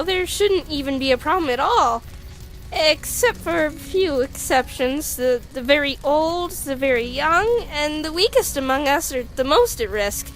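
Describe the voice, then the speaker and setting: A woman's voice: fairly bright tone, low-quality recording. A woman voicing a nervous, geeky female monster scientist in a comic dub, stammering as she explains earnestly. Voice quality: stammering, high-pitched. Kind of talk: animated comic dub acting. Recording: music behind the voice.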